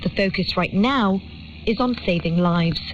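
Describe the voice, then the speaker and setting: A woman's voice: mid-range voice, measured pace, a little hiss or hum. A woman reporter reading a scripted news report, heard over shortwave radio. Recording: dull shortwave radio broadcast.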